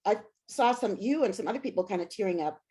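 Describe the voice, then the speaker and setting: A woman with a medium-pitched voice talks as an interviewed author and scholar. Her delivery is casual and candid, with a wry, self-deprecating humor. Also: lively intonation, fast, dark tone.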